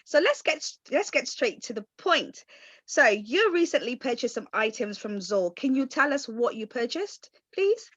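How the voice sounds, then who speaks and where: A woman's voice: a little high, sing-song, unhurried, breathy edge, no room sound, muffled recording. A woman hosting a client interview for a small food company, asking upbeat, leading questions. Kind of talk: friendly scripted interview questions.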